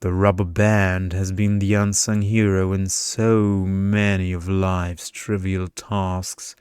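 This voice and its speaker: A man's voice: low voice, little pitch movement, takes it slowly, darkish tone, clean tone, no room sound, studio quality. A man voicing a pedantic, seductive energy vampire in an ASMR story, lecturing in a pompous drone. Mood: droningly enthusiastic. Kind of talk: ASMR storybook character reading.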